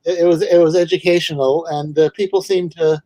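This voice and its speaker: A man from Manhattan with a New York accent, a guest author talking over a video call in a thoughtful, halting way. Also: a little high, steady tone, warm tone, dry recording. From New York City. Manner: thoughtful, hesitant, many restarts. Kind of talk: casual video call interview.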